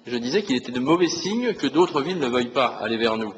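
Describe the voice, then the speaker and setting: A man's voice: mid-range voice, expressive, quick pace. A man speaking off the cuff as an opposition councillor in debate, hesitant and repetitive, with a conciliatory manner. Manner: hesitant, repeats words, conciliatory. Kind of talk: impromptu council debate remarks. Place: large council meeting room. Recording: reverberant hall with faint noise.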